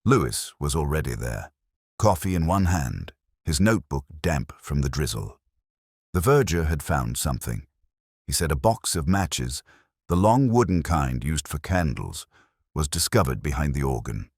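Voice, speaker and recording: Very deep-voiced man narrating detective fiction. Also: sing-song, normal speed, breathy edge, no echo, clean studio sound. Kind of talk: scripted first-person detective story narration.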